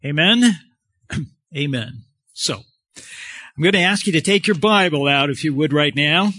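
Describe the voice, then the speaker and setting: A man preaching a sermon in a halting, conversational way, pausing often. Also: on the high side, expressive, sharp tone, no echo, clean studio sound.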